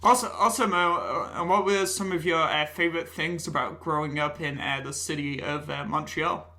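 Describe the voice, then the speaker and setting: A man's voice: a little high, fairly even intonation, sharp tone. A man hosting an interview podcast, asking questions with frequent "uh" fillers between phrases. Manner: fills pauses with frequent "uh"s. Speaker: podcast host.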